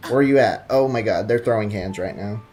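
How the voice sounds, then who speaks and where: A man's voice: on the low side, fairly quick, darkish tone. A young adult man giving casual, slangy live gameplay commentary with jokey asides. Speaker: game streamer. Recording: game music behind.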